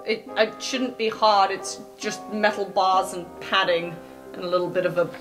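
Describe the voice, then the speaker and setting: A woman's voice: mid-range voice, steady tone, normal speed, music in the background, pitched below the performer's own voice, natural voice, poor audio. A woman voicing a matter-of-fact student inventor in an improvised tabletop game, explaining in a casual, practical way.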